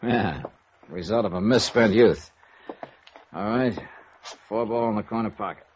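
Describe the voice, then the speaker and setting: A man's voice: fairly low pitch, measured pace, background noise, natural voice. A man acting in a vintage radio noir drama as a cool, sardonic investigator with a dry, needling, self-assured manner. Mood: cool, knowing. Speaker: radio detective lead. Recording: muffled, echoey vintage radio recording.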